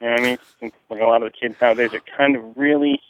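A man's voice: medium pitch, wildly swooping pitch, rapid-fire, gravelly, a little hiss or hum. A man from New Jersey rambling through a casual, profane, slangy listener message with hesitant phrasing. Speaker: listener leaving a call-in message. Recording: muffled, narrow phone-like line, dry.

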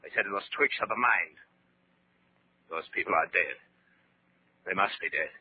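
An actor playing a bullying, secretly terrified German officer in a 1940s radio horror drama, barking commands insistently. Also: male voice, deep voice, normal speed, slightly rough. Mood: uneasy, explaining. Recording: scratchy, narrow-band 1940s radio recording.

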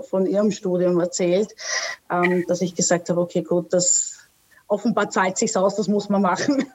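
A woman in her thirties from Australia speaks as an interview guest in a mid-pitched voice. She talks hesitantly, restarting sentences and filling pauses. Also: a little mellow, dull audio. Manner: hesitant, many fillers and restarts. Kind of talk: casual interview talk.

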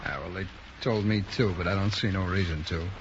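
A man's voice: deep voice, brisk, natural voice. An actor playing a surly, defiant young male hoodlum in a radio drama, talking in sullen, defensive slang. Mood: sullen, evasive. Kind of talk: 1950s radio drama acting. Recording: dull, faintly noisy old radio recording.

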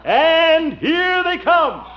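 Ringmaster bonhomme à voix haute et chantante. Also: male voice, very high-pitched, big pitch swings, extremely slow, heavily acted. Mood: showy, excited. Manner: showy announcing, flustered exclamations. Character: genial, bumbling grown son acting as circus ringmaster. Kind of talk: 1950s radio comedy acting. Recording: muffled, hissy old radio recording.

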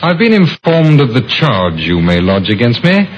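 An actor playing a smooth, over-cooperative murder suspect in an old-time radio mystery, polite, glib and at ease. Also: male voice, medium pitch, big pitch swings, moderate pace, close to an everyday voice. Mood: smoothly compliant. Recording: muffled, noisy vintage radio recording.

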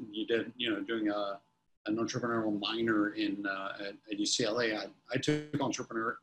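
Rambling middle-aged man, lowish voice. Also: a little hiss or hum, low-quality recording. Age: 50s. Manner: rambling, many restarts and fillers. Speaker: company CEO guest. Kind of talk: casual interview answers.

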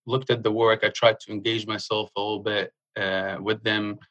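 A young man from Jordan, speaking earnestly in a casual interview and pausing often with fillers. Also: on the low side, little pitch movement, fairly bright tone, low-quality recording. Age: young adult. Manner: earnest, halting with frequent uh fillers. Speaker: guest.

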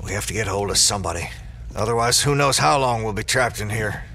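A man playing a steady, earnest small-town sheriff in an audio drama. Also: lowish voice, quick pace, vocal fry, slight background noise. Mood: tense, urgent. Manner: earnest, practical.

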